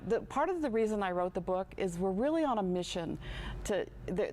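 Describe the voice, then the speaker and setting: A woman's voice: medium pitch, a little mellow, slight background noise. Earnest woman in her sixties. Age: 60s. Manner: earnest, halting with fillers and restarts. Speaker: show co-host. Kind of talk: casual chat.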